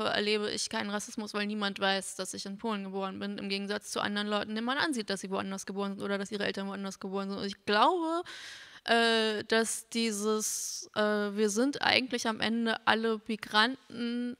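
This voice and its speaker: A woman's voice: mid-range voice, a bit bright, clear, resonant tone. A woman in her thirties, born in Poland and raised in Berlin, speaking frankly and with slight irony as a panel guest on stage into a handheld mic. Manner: quick, frank, slightly ironic. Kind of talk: panel discussion. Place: stage of a literary venue hall. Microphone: handheld microphone. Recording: reverberant hall.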